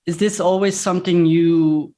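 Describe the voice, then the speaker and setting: A man's voice: high-pitched, steady tone, normal speed, dark tone. A man hosts a casual podcast interview over a video call. He talks hesitantly, often restarting his sentences and searching for words. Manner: hesitant, many fillers and restarts. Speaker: podcast host.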